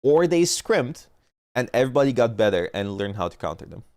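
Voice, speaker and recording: A man's voice: medium pitch, very animated, a little fast, darkish tone, no echo. A man streaming casually, rambling and arguing about competitive teams and often losing his thread, with a stuffy nose. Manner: rambling, argumentative, trails off mid-point. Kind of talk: casual gaming chat.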